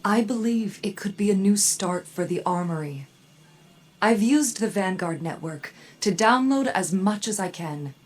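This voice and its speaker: An actress playing a weary, proud forge leader in a game cutscene, speaking in a measured, formal way over music. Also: female voice, medium pitch, a little mellow, natural voice. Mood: resolute. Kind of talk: dramatic game cutscene monologue. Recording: music behind the voice. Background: cinematic music.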